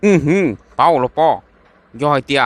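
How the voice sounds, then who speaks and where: A man's voice: brisk, a little hiss or hum, lightly acted. A man playing a young man in love in a cartoon romance, speaking in a conversational way. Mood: animated, amused. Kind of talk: amateur animated cartoon dialogue.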